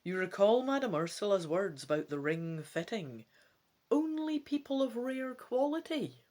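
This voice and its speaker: A woman hosting a gamebook playthrough, reading dramatically in an expressive, playful way with casual asides. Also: mid-range voice, measured pace. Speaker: host of a gamebook let's play.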